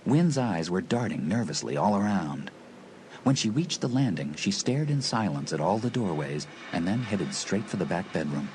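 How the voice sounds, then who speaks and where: A man's voice: sits low, big pitch swings, normal speed, darkish tone. A man narrating a dramatised teen horror story in a scripted style. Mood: measured suspense. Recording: noisy dramatised audio tape.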